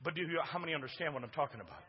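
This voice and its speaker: Booming preacher in a reverberant hall. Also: male voice, very animated, rapid-fire, husky, highs cut off. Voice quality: big booming voice. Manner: preaching, swings from hushed to shouting, calls for responses from the congregation. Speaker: pastor. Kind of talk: sermon. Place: church sanctuary.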